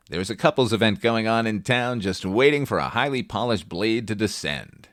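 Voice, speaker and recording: A middle-aged man with a low voice reviews films as a podcast host. His delivery is scripted, wry and deadpan, and the recording is close and dry, free of room sound. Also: no echo. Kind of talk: scripted film review commentary.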